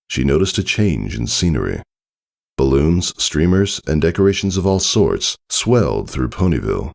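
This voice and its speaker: A man's voice: rumbling low, vocal fry, darkish tone. A man reading a story aloud as narrator, in a scripted storybook style. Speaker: narrator, fan fiction reader.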